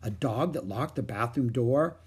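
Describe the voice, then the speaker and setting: A man voicing an exasperated older sister who speaks impatiently, in a husky voice. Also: mid-range voice, lively intonation, moderate pace. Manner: impatient and sharp.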